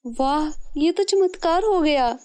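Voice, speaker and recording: Woman playing a high-voiced, kind young girl. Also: lively intonation, measured pace, a little mellow. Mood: amazed. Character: sweet, helpful, kind-hearted young girl. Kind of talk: acted children's fairy-tale dialogue.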